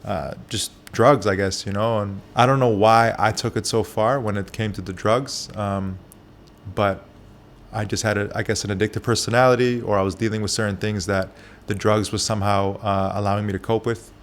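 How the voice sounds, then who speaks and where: A man who grew up in Japan, a barber, answering interview questions candidly, with soft pauses and frequent fillers. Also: on the low side, fairly even intonation, a little hiss or hum.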